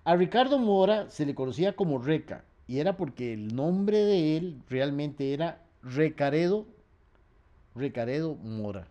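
Male radio host, mellow tone, long runs of facts. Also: on the high side, animated, unhurried, highs cut off. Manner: reads facts in long runs, trails off with fillers. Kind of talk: relaxed radio talk about music history.

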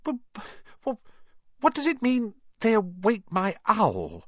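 A man's voice: on the high side, huge pitch swings, unhurried, fairly bright tone, no room sound, highs cut off, voice pushed up, heavily acted. A man voicing an innocent, eager young boy in a storybook reading, hesitant and questioning. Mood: stammering, curious.

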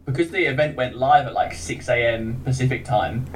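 A man's voice: mid-range voice, brisk, slight background noise, poor audio. Chatty English man, laughing a lot. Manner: chatty, self-deprecating, laughs often. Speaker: podcast guest, game streamer. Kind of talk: casual chat.

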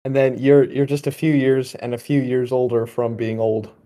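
Mellow male voice, age twenty, podcast host, casual conversation, rambling and wry. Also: mid-range voice, fairly even intonation, normal speed. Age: 20, young adult.

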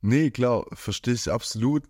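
A man's voice: mid-range voice, normal speed, a little mellow, dry recording, studio quality. A man from near Stuttgart hosting an interview, asking long rambling questions in a casual tone. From near Stuttgart, Germany. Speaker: podcast host.

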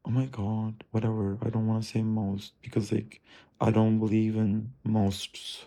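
Man, low voice, very slow, musing self-talk, profane, drifting. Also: flat delivery, dark tone. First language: Turkish. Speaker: streamer. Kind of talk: rambling self-talk monologue.

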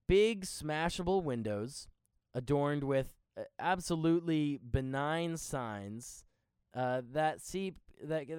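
A man's voice: mid-range voice, darkish tone, clean tone, no room sound, clean studio sound. Wry male podcast host. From Nebraska, US. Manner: wry, sarcastic, theatrical. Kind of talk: playful show intro and casual interview.

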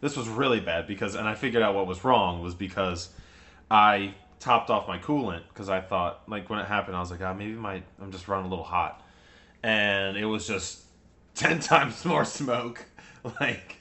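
A man's voice: fairly low pitch, moderate pace, sharp tone. A young man co-hosting a casual podcast, telling stories in a rambling way and laughing often. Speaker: podcast co-host. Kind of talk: casual chat.

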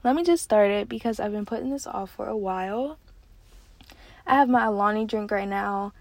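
A nineteen-year-old woman hosting her own podcast, chatting casually and excitedly with lots of fillers from a parked car. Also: mid-range voice, normal speed, no room sound. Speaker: podcast host. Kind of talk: casual solo podcast chat.

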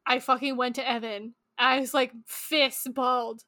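A woman co-hosting a casual book podcast, expressive and quick to swear. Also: high-pitched, no room sound, clean studio sound. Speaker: podcast co-host.

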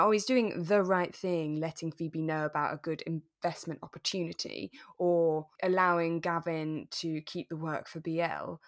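Low-pitched woman chatting as podcast co-host. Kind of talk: casual podcast chat about a radio drama.

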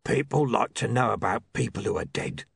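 A man's voice: fairly low pitch, vocal fry, husky, no echo, exaggerated voice. Man voicing an emphatic, earnest zombie, closely recorded. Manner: emphatic, deliberate. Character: male zombie, earnest, insistent, self-important.

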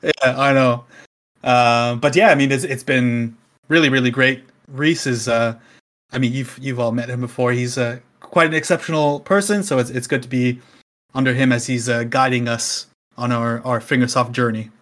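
A Canadian man in casual podcast conversation, voice sitting in an ordinary middle pitch. He rambles easily, fills gaps with ums and chuckles along the way. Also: steady tone, normal speed, a bit bright. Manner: easygoing, chatty, laughs often, many fillers. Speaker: game company community staff guest.

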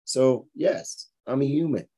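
Hoarse, tired man in his forties. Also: mid-range voice, a little slow, mellow. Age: 40s. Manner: rambling, frank, repeats himself. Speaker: fitness podcast host. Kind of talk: casual motivational monologue.

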